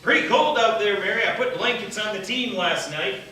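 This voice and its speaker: A talkative prairie settler acted by a man with a high voice and a teasing streak. It is stage radio comedy, heard from afar in a very reverberant, noisy room. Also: lively intonation, a little fast, pushed and bright. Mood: folksy, chatty. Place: live radio theater stage. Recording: echoey theater, far mic, noisy.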